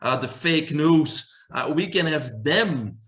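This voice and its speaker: A man's voice: fairly high pitch, animated, slow pace, a bit bright. A man in his forties from Belgium with a Belgian accent, earnestly answering interview questions as a holistic health doctor. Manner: earnest, emphatic, lists many terms.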